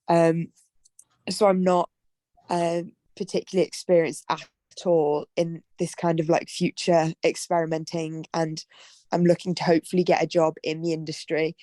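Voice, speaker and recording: A hoarse young woman speaking hesitantly and modestly on a video call. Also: deep voice, warm tone, no echo. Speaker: workshop participant. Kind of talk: casual chat on a video call.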